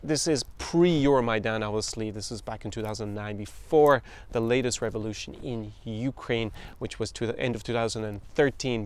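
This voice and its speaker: Smooth-voiced Irish man telling a story. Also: on the low side. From Ireland. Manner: anecdotal, quotes others in voices, chuckles. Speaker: podcast host. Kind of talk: storytelling podcast monologue.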